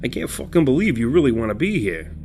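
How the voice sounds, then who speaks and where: Crude, exasperated streetwise killer. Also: male voice, mid-range voice, very animated, fast, noisy background. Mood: exasperated, grudging. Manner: slangy, sweary, hesitant, colloquial grammar. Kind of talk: horror audio drama dialogue.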